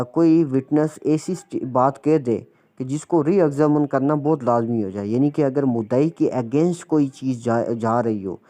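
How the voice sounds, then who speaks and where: A man with a Pakistani accent giving an explanatory law lecture, slowing down when he reads out legal text. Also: medium pitch, mellow. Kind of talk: explanatory lecture mixing Urdu and English.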